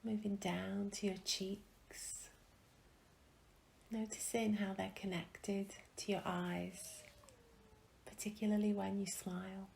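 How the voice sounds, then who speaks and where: Calm woman doing a guided meditation, really slow n even, soft breathy voice w some creak, faint noise behind. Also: mid-range voice, low-quality recording. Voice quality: soft, breathy, often trailing into a whisper. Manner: gentle, calm, with long pauses. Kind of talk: guided meditation, soothing instruction.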